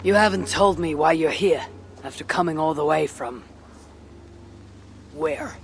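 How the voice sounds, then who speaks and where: A woman's voice: low-pitched, measured pace, slight background noise. An actress playing a suspicious Viking warrior woman in a video game, with a terse, challenging delivery. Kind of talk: video game cutscene dialogue.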